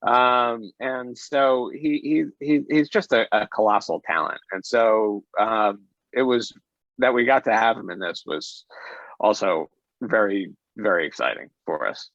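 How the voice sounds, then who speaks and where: A man speaking casually as an interview guest, with halting, repeated starts to his thoughts. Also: fairly low pitch, a bit bright, no echo. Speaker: interview guest, audio drama creator. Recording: dry, slightly dull close sound.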